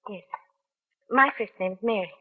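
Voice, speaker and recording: A woman's voice: medium pitch, normal speed, husky, close to an everyday voice. Actress as a young policewoman, muffled old radio. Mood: deferential, soft. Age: young adult. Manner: brief, deferential replies. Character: young policewoman, dutiful, composed, quietly game. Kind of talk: 1950s radio crime drama acting.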